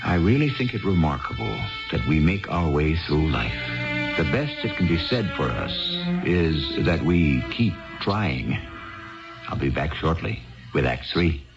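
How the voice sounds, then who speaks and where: Deep-voiced reflective male radio host. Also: expressive. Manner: reflective, rhetorical, deliberate pauses. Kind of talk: scripted narration for 1970s radio. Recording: dull 1970s radio broadcast recording. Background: music.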